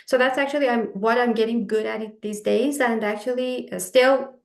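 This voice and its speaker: A woman's voice: a little high, steady tone, normal speed, creaky, echoey room. A woman from Iran, speaking earnestly and hesitantly with many fillers as a panel guest. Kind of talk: casual conversation.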